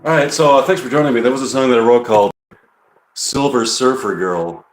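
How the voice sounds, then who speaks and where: A man from Chicago chatting hesitantly on a live stream between performing his songs, pausing to look things up. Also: medium pitch. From Chicago, USA. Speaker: singer-songwriter performing live. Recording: echoey room with guitar behind. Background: guitar.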